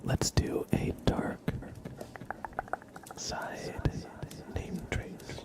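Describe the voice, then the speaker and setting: Man doing an ominous, whispery dark cultist. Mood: ominous, solemn. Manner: solemn, drawn-out, partly whispered delivery. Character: ominous male dark cultist sealing a sinister pact. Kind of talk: slow ASMR ritual roleplay. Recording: clearly noisy livestream microphone.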